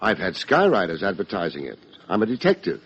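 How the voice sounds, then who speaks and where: A low-voiced, husky private detective from an old radio mystery, wry and world-weary. He tosses off deadpan wisecracks with swinging pitch, on a dull vintage recording. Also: a little fast, heavily acted. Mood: wry, bantering. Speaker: narrator and lead detective. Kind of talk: old-time radio detective drama acting.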